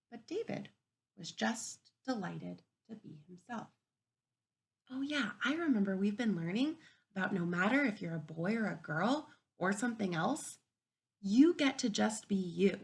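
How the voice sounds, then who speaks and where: A woman teacher reading a picture book aloud to young children, gentle and encouraging, often dropping to a soft whisper. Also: mid-range voice, creaky. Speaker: kindergarten teacher. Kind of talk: read-aloud storybook lesson for young children.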